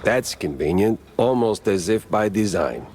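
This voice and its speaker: A man's voice: fairly low pitch, lively intonation, a little slow, a little mellow, breathy edge. A man voicing a wisecracking, world-weary cartoon mouse private detective in a noir game, sardonic and wry. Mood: sardonic impatience.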